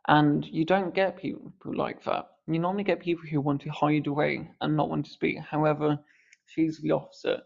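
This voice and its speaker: A man's voice: on the high side, little pitch movement, moderate pace, creaky, lo-fi sound. A man hosting a podcast, speaking earnestly with a mild stammer on the odd word. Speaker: podcast host. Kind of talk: reflective podcast commentary.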